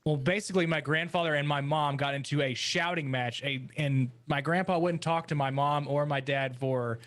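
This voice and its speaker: Slightly high-voiced man chatting casually. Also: steady tone, normal speed, fairly bright tone. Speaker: podcast panelist. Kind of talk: casual chat, storytelling.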